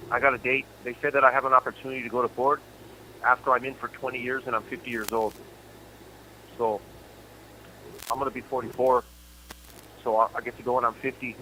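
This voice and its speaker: Relaxed jokey guy in his 40s, prison phone call with faint hiss. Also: medium pitch. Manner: joking, laid-back. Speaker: incarcerated guest on a call-in show. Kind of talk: casual phone chat. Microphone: phone line.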